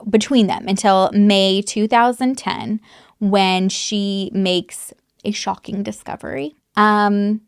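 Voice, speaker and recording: A woman's voice: medium pitch, no echo. Woman, casual true crime storyteller, filler-heavy chat, dry close sound. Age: adult mother.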